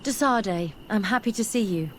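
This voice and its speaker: An actress playing an earnest, bookish young female scholar in a video game, speaking in a measured, articulate way. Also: mid-range voice, lively intonation, slight background noise, close to an everyday voice, rough recording. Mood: thoughtful, hopeful. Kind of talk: video game dialogue acting. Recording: music behind the voice.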